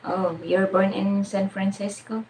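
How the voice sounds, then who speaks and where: A woman's voice: mid-range voice, little pitch movement, unhurried, darkish tone, a little hiss or hum, rough recording. A Filipina woman with a Filipino accent hosting a casual live stream, chatting with viewers, greeting them by name and laughing often. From Philippines.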